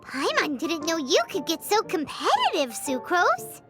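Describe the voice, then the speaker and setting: An actress voicing a tiny, childlike fairy companion in a video game, chatty and blunt in a childish way. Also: female voice, very high-pitched, wildly swooping pitch, measured pace, loud music behind the voice, heavily acted. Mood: surprised, frank. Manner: blunt, childish, refers to self by name. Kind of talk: English video game voice acting.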